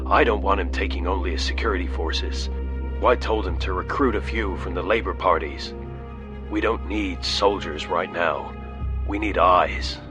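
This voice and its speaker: An actor playing a grave, resolute male colony governor recording a log in a sci-fi audio drama, measured and authoritative. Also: low voice, natural voice. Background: music.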